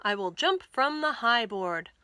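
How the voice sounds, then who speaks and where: A woman acts out a male hippo from a children's storybook, boastful yet easily nervous. The voice is bright and fairly high. The delivery is earnest and emphatic. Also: lively intonation, measured pace, clean tone, no echo.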